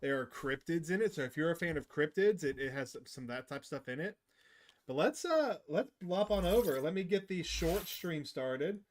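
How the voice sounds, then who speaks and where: A man's voice: fairly high pitch, lively intonation, moderate pace. A man hosting a gaming livestream, chatting casually and enthusiastically with his viewers. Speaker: livestream host. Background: game music.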